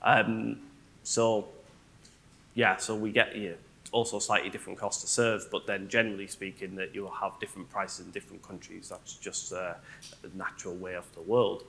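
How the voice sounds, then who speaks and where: A man with a fairly low but bright, forward voice explains his views on a conference panel. He goes step by step and keeps checking in with his listeners. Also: flat delivery, normal speed, creaky. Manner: explanatory, often checks with "right?". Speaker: business excellence manager.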